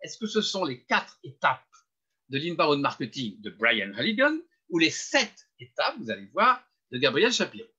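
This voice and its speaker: Older french guy giving a webinar talk, bit husky, kinda high pitched, muffled lo-fi sound. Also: moderate pace. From France. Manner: animated, emphatic lecturing with self-deprecating humor. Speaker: webinar speaker. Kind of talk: marketing webinar presentation. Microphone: webinar computer mic.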